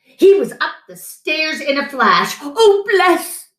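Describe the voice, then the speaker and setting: Animated middle-aged woman reading slowly, roomy sound. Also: high voice. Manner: animated, chatting to an unseen guest between lines. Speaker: children's story time reader. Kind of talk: expressive picture-book read-aloud. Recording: roomy echo.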